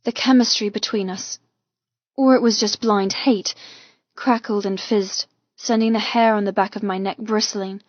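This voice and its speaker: A woman reading a first-person romance audiobook with intense, expressive, dramatic delivery. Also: medium pitch, moderate pace, no room sound, highs cut off. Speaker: audiobook narrator.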